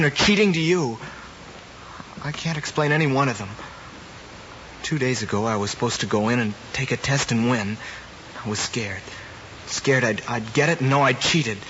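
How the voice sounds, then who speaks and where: A man's voice: medium pitch, fairly bright tone, highs cut off, close to an everyday voice. An actor with an American accent playing a troubled, guilt-ridden teenage boy, earnest and hesitant, in a hissy old television drama. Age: young adult. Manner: earnest, hesitant, clipped replies. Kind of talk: mid-century American television drama acting.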